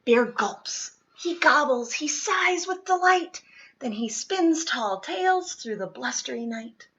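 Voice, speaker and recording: Expressive woman reading a picture book. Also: sits high, takes it slowly, dull audio. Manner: expressive, with whispered lines. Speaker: storybook reader. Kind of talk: children's picture book read-aloud.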